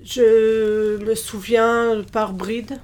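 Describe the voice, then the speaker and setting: A woman in her fifties, originally from Portugal, talks haltingly in a casual interview, with frequent pauses and restarts. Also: on the high side, level tone, a little mellow. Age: 50s. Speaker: interviewee, childminder.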